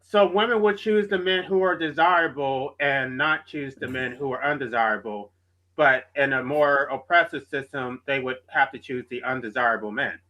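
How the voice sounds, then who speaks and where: Bright-toned man on muffled call audio. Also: mid-range voice, normal speed.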